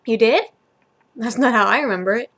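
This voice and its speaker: An earnest, befuddled young man with a hangover as a woman acts him out in a story reading. The voice sits on the high side and sounds openly confused. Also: fairly quick, a bit bright.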